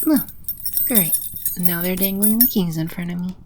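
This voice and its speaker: A woman playing a haughty, scornful female demon in a scripted audio roleplay, condescending and sardonic, with breathy edges to her voice. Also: fairly low pitch, normal speed, dark tone, a little hiss or hum, lightly acted.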